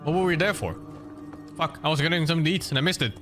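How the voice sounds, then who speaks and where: High-voiced male game streamer. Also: racing. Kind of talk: casual live gameplay commentary. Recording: game music behind the voice.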